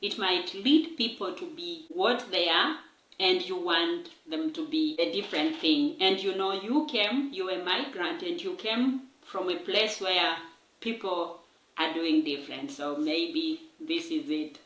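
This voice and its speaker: A woman's voice: fairly low pitch, measured pace, a bit bright. A woman hosting a casual podcast chat, talking loosely and laughing often. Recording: echoey room.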